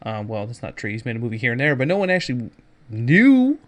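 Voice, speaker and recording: A man's voice: medium pitch, wildly swooping pitch, fast talker. A man hosting a geek news podcast, giving casual, jokey commentary with sarcastic asides and theatrical emphasis.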